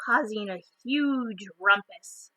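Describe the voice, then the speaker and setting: A woman reading a picture book aloud, dramatically stressing some phrases and hushing others. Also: mid-range voice, takes it slowly, no echo. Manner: dramatic, emphatic phrases alternating with hushed ones. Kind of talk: expressive children's read-aloud.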